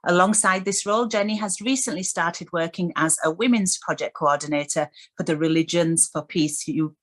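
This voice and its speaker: A woman's voice: lowish voice, moderate pace, a bit bright. A woman hosting a radio show, introducing guests and putting questions to them. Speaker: radio host.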